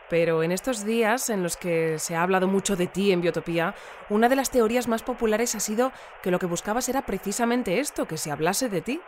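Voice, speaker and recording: A woman's voice: lowish voice, a little hiss or hum, close to an everyday voice. A woman playing a polite, earnest news presenter who interviews and explains wordily in an audio drama. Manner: courteous, wordy, explanatory.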